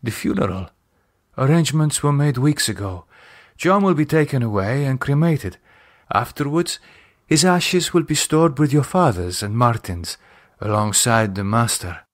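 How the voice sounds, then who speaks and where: A man reads as a composed woman in a gothic audiobook. She speaks in a calm, businesslike way, reassuring and matter-of-fact. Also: mid-range voice, normal speed, lightly acted.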